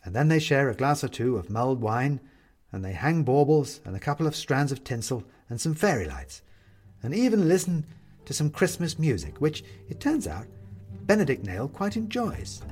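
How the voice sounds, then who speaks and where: A man's voice: medium pitch, big pitch swings, moderate pace, a little mellow, breathy edge, faint music behind. A man narrating a scripted story expressively as an audiobook reader.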